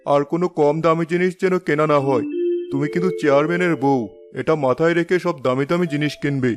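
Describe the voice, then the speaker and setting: A proud village chairman and indulgent father, played by a man in a cartoon. His voice sits fairly high and sounds self-important, with soft music underneath. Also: steady tone, fairly quick, lightly acted. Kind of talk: Bengali moral-story cartoon voice acting.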